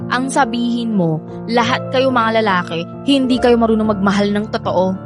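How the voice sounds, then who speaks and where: Annoyed young filipino woman actress in radio drama, sarcastic, huffy, highish voice, faint music behind. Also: normal speed, close to an everyday voice. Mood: indignant. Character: irritable, guarded young woman, bitter about love.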